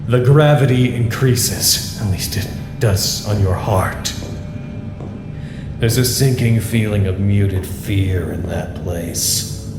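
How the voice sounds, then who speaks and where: A male actor playing an amiable, nervously upbeat staffer who narrates his report in a wry, expressive, conversational way for an audio drama. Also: fairly low pitch. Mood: somber, uneasy. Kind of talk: scripted audio drama monologue, personal report.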